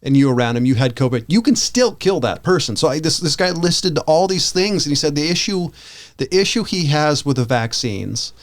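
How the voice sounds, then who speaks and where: A man with an American accent co-hosting a podcast, talking casually and giving opinions in a rambling, halting way that often backtracks. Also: a little high, expressive. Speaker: podcast co-host.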